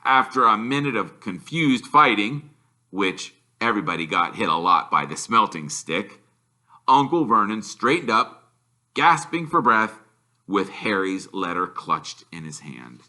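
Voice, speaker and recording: A man narrates a children's novel with very bright, forceful delivery. His pitch swings in a lively way, and he takes an unhurried, deliberate pace as he tells the story. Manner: animated, deliberate. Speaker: reader, storyteller.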